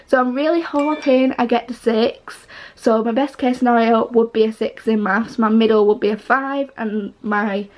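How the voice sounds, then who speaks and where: Teen girl vlogger, somewhat high, slightly croaky, rambling and chatty. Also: a little mellow, rough recording. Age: teens. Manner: chatty, rambling with many fillers and restarts. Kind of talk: casual chat to camera.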